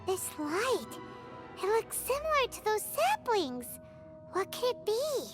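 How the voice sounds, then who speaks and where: A woman voicing a tiny, excitable, childlike fairy companion in a video game, chatty and curious, full of exclamations. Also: very high-pitched, slow pace, exaggerated voice. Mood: eager. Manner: speaks of self in third person, exclaims. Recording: music behind the voice.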